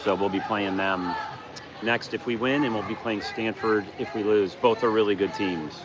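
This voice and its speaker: A man's voice: on the low side, fairly even intonation, normal speed. A man hosting a casual live stream at an outdoor pool, chatting and explaining to viewers. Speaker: livestream host and team parent. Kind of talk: casual livestream commentary. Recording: noisy outdoor phone recording.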